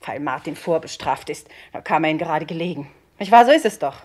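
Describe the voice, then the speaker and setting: A distraught young woman in a mid-century crime radio drama. Her voice sits slightly low. She speaks defensively, agitated and full of defiance. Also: animated, fast, darkish tone. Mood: bitter, defiant. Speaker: actress in a crime radio play.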